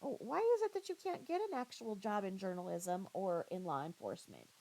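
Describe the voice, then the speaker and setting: Scornful woman commentator with a creaky voice. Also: mid-range voice, very animated, a little mellow, poor audio. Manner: scornful, confrontational, halting with repeated phrases. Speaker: commentator reacting to another creator's livestream. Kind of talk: critical reaction commentary.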